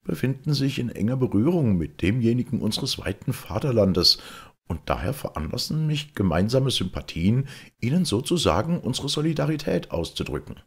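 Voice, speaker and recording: A man's voice: mid-range voice, very animated, normal speed, slightly rough, voice pushed up. A man voicing an obsequious, self-important town mayor in an audiobook, with a formal, fussy, eager manner. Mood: ceremonious. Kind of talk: audiobook character acting.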